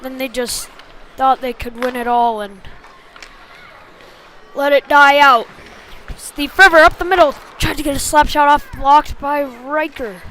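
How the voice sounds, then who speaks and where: Woman calling hockey live, high bright voice, short choppy calls, gets excitable, bit of background noise. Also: a little slow, long pauses. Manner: excitable on big plays, clipped short phrases. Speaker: play-by-play hockey commentator. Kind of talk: live sports commentary.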